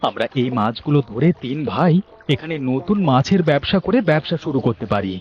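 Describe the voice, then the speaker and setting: A man's voice: medium pitch, big pitch swings, a little fast, darkish tone, lo-fi sound, faint music behind, close to an everyday voice. A man voicing an earnest younger village brother in a Bangla cartoon, with a plain-spoken delivery.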